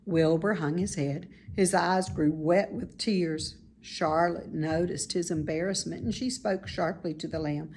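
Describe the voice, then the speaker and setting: A woman narrating a children's book in an expressive read-aloud style. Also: lowish voice, measured pace, few pauses, a little hiss or hum. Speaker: storybook reader.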